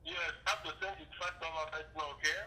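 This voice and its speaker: A man with a very sharp, slightly high voice comes through a speakerphone. He is insistent and repetitive, talking in short pressing phrases over faint noise. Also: little pitch movement, fast, rough recording. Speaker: phone scammer. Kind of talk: phone conversation, pressing for money. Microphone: phone call played on speakerphone.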